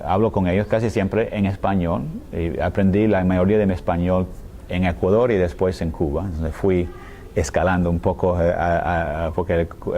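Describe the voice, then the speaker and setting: A man, a scholar answering interview questions in a language that is not his one, speaking hesitantly with many fillers, pauses and restarts and often ending phrases with a questioning tag. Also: low-pitched, mellow, slight background noise. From United States. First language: English. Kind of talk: thoughtful conversational interview answers.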